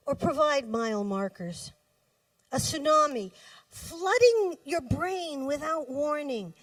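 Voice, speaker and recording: A woman poet reads her work aloud at a live reading in a fairly high voice. Her delivery is slow and deliberate, with measured pauses between phrases. She is picked up from a little distance from the microphone. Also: no room sound. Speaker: poet reading own poems. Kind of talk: live poetry reading with brief spoken introductions. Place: cafe poetry reading.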